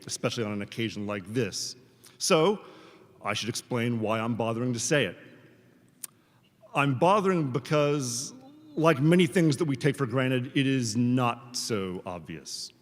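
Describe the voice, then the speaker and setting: A man delivers a formal commencement address as a professor, speaking in measured, deliberate phrases with rhetorical pauses. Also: mid-range voice. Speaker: professor giving a commencement speech. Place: large hall at a graduation ceremony. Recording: reverberant large hall, amplified speech.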